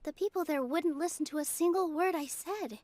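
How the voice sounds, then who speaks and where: A woman playing a gentle, modest young female theater dancer in an anime-style video game, speaking politely and earnestly. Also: very high voice, a little fast. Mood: concerned. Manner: soft-hearted, polite, earnest. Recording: music behind game dialogue.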